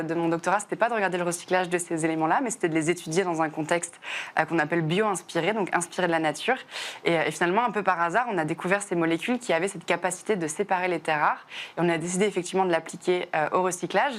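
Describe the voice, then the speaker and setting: A woman in her twenties from southern France, a chemist answering interview questions with many fillers and restarts. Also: fairly low pitch. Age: 20s. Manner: fast, frequent "euh" fillers and restarts. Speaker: chemist and startup founder.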